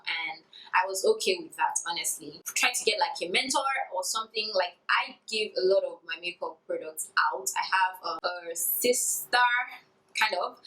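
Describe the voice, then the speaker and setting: A woman's voice: lowish voice, a bit bright, low-quality recording. Chatty sing-song woman in her twenties. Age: 20s. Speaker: beauty YouTuber. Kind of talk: casual Q&A vlog.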